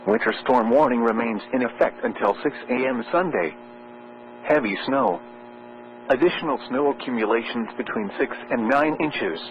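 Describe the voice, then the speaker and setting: Muffled synthetic male weather radio announcer. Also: mid-range voice. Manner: formal, measured synthetic announcer delivery. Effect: weather radio transmission. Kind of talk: scripted weather forecast and warning readout. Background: steady electrical hum.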